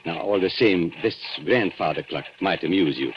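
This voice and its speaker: An actor playing a suave, cynical antique dealer and blackmailer in a 1940s radio thriller, mock-courteous and theatrical. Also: male voice, deep voice, expressive, moderate pace. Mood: courteous, sly. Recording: muffled, noisy vintage 1940s radio recording.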